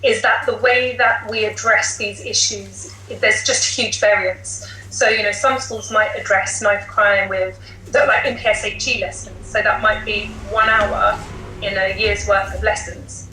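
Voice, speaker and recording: In a roomy, echoing recording with some faint noise, a British woman speaks in a bright, forceful voice. She is a teacher giving articulate, considered explanations in a discussion. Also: medium pitch, fairly even intonation, unhurried. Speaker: teacher, podcast guest. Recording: roomy echo, light background noise.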